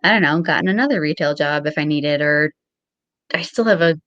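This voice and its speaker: A woman's voice: low-pitched, a little fast, fairly bright tone, no echo, highs cut off. A young woman chatting casually as an interview guest, with a modest, somewhat hesitant way of talking. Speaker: guest on a show.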